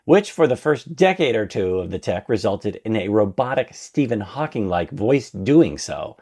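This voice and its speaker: A man's voice: mid-range voice, darkish tone. A man reading his own prepared essay aloud as a podcast narrator, with an emphatic, enthusiastic delivery. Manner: refers to himself as "we".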